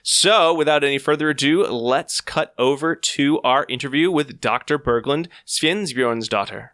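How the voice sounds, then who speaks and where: A man's voice: fairly high pitch, normal speed, a bit bright, no echo, studio quality. An American man hosting a podcast interview in a playful, upbeat way. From United States. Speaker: podcast host.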